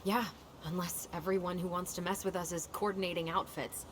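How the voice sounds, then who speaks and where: Young female game lead woman acting, hurt and guarded, naturalistic read; clear background noise on the track. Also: lowish voice, little pitch movement, fairly quick, close to an everyday voice. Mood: wary, puzzled. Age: young adult.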